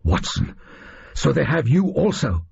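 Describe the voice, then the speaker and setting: A man voicing a composed, gentlemanly detective in an audiobook, with clipped, urgent, old-fashioned speech. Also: on the high side, big pitch swings, unhurried, creaky, breathy edge, voice pushed up, exaggerated voice. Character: gentlemanly English detective, captive yet composed. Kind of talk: audiobook character dialogue. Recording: dull, band-limited audio.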